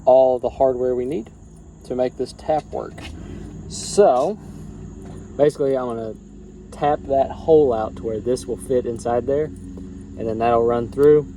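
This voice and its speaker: A man with an average-pitched voice talks folksy and rambling, restarting phrases as he works on a truck. He is recorded outdoors on a handheld camera. Crickets and outdoor noise sit clearly in the background. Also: unhurried, long pauses, mellow. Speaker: DIY truck vlogger. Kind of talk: casual how-to vlog. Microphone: handheld camera, no tripod.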